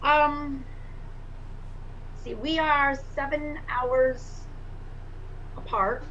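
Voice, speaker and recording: Bright high-voiced woman on a call. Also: fairly even intonation, very slow, muffled recording, slight background noise. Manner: earnest, thinks aloud with many fillers. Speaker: pastor and prospective student. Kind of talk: casual orientation call.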